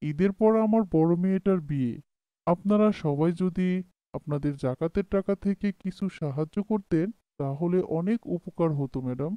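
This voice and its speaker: Woman as a low-voiced, humble servant man. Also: moderate pace, mellow, dry recording, lightly acted. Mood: humble, pleading. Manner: hesitant, respectful. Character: humble, deferential male household servant, a father. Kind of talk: Islamic moral cartoon voice acting.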